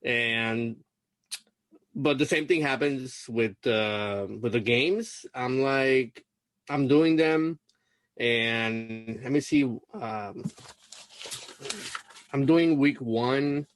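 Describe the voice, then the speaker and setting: A man's voice: mid-range voice, very slow, long pauses, no echo. A young man from Puerto Rico whose first language is Spanish, talking hesitantly with many fillers on a video coaching call. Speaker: LSAT student being coached.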